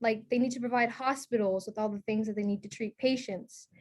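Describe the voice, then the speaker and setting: A woman playing an outspoken, higher-voiced frustrated woman. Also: steady tone, fairly quick, close to an everyday voice. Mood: frustrated, insistent. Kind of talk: documentary theater, acted as group discussion.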